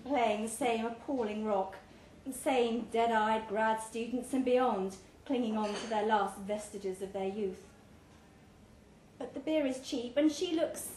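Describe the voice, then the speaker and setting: A woman narrates a short story live in a pub, in a fairly high voice. She takes her time, leaving measured dramatic pauses. The room echoes around her, with some noise behind. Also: dark tone. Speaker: story reader. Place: pub, live reading event.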